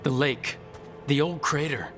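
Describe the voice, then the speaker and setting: A man's voice: a little high, a little slow, fairly bright tone. Determined male game hero, music underneath. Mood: urgent. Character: determined male adventurer hero, level-headed, protective, grim. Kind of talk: video game voice acting.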